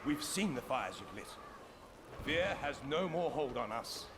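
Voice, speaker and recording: An actor playing a defiant fortress soldier in a video game, speaking with urgent, earnest conviction. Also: male voice, medium pitch, big pitch swings, unhurried. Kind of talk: video game character acting. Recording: loud game battle ambience. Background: loud ambient noise.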